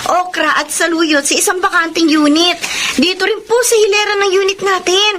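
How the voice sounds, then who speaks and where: A woman's voice: very high voice, fairly even intonation, normal speed, background noise. A woman playing an eager, respectful teenage daughter with a Filipino accent in a radio drama. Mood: excited, explaining.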